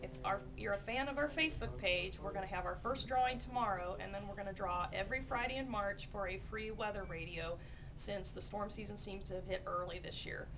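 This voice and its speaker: A woman's voice: mid-range voice, brisk. A woman with an American accent hosts an informal video introduction for a local business. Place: electronics store. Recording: distant mic, clear background noise.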